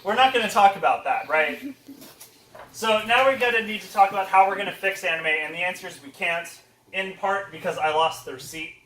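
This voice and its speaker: A man's voice: high-pitched, a little fast, sharp tone, slight background noise. A man giving a casual, profane comic slide lecture as a convention panelist, sounding mock-exasperated. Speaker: comedy panelist at a fan convention. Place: indoor convention panel room. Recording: echoey room, distant from microphone.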